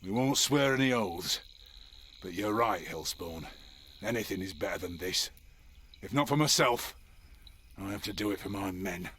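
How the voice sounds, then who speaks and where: An actor playing a hard-bitten, skeptical soldier captain with a rough voice in a video game cutscene. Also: male voice, deep voice, animated, moderate pace, long pauses, a bit bright, a little hiss or hum. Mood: grudging, resigned.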